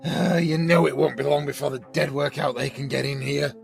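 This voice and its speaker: An actor playing a menacing, gloating male villain in an audio drama, taunting in a measured, gravelly way. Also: mid-range voice, flat delivery, over music. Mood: gloating menace. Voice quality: gravelly, creaky.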